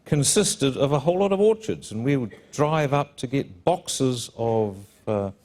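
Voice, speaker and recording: A man's voice: medium pitch, expressive, unhurried, a little mellow. A man with a New Zealand accent hosting a conference stage interview, chatty and jokey as he reminisces and introduces his guest. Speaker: panel host.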